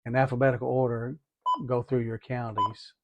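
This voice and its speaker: A man's voice: mid-range voice, level tone, moderate pace, muffled recording, very noisy. A man giving a methodical, hands-on tutorial, talking through each step and repeating as he goes. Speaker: DIY product demonstrator.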